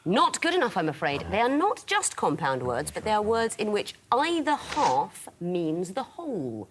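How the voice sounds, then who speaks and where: A woman's voice: mid-range voice, wildly swooping pitch, normal speed, a little hiss or hum. A woman hosting a studio quiz show, delivering scripted lines with a dry, teasing edge. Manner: arch, teasing, crisp delivery. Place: television studio.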